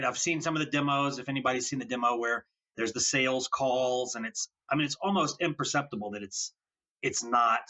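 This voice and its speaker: A man's voice: medium pitch, pushed and bright. A man hosting a podcast interview, speaking with enthusiasm and thinking aloud, repeating words as he goes. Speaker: podcast host. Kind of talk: conversational interview. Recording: dull lo-fi sound.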